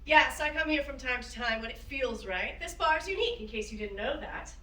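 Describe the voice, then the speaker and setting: A woman's voice: sits high, animated, a little fast, sharp tone. A woman playing an enigmatic, adventurous young stranger in a staged play reading. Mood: cryptic, knowing. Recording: echoey room, distant mic.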